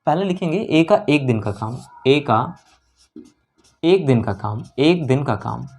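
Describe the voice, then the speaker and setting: A male maths teacher with a North Indian accent and a mid-pitched voice explains problems as he works through them. He is instructive, repeats key phrases and keeps checking that listeners follow. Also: expressive, measured pace, a little mellow. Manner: instructive, repetitive, with frequent check-in questions. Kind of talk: lesson explained while solving problems.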